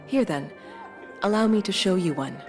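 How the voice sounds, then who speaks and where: A woman playing a calm, formal woman who speaks politely and with measured logic. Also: medium pitch, faint music behind, lightly acted.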